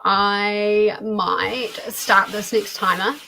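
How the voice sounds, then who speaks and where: A woman's voice: medium pitch, fairly even intonation, drawn-out words, creaky, pushed and bright, a little hiss or hum, poor audio. A woman hosting a livestream, chatting casually. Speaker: host of a livestream.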